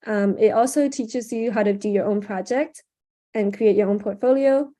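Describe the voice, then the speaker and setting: A young woman teaching a live online workshop over a video call, chatty with lots of ums, her throat sore and often cleared. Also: mid-range voice, moderate pace, mellow. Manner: casual, chatty, frequent "um" and "like". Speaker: workshop instructor. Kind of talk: live online workshop screen-share tutorial.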